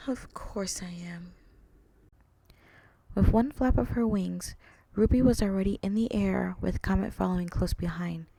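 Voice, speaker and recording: A woman with a soft voice reading a story aloud as its narrator. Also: medium pitch, steady tone, moderate pace, gravelly, no room sound, low-quality recording. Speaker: narrator and author.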